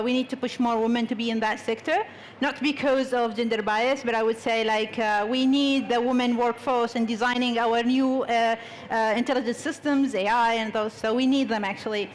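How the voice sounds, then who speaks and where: A woman's voice: a little high, a little hiss or hum, rough recording. A woman speaking hesitantly with many fillers as she introduces herself on a panel. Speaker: panelist, startup founder. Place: conference panel stage.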